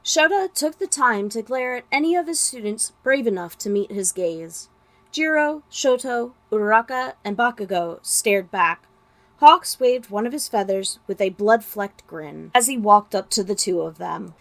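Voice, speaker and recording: A woman's voice: medium pitch, lively intonation, moderate pace, fairly bright tone. Woman narrating a story expressively. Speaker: podfic narrator.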